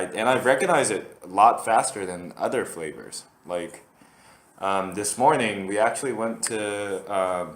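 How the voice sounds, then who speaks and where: A man's voice: lowish voice, echoey room. A man from Korea, hosting a casual solo podcast and rambling with lots of fillers and restarts. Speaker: podcast host.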